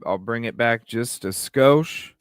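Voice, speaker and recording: A man's voice: medium pitch, takes it slowly, clear, resonant tone, no room sound, studio quality, soft background music. A man hosting a livestream with casual, speculative commentary, repeating phrases and asking rhetorical questions. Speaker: livestream host.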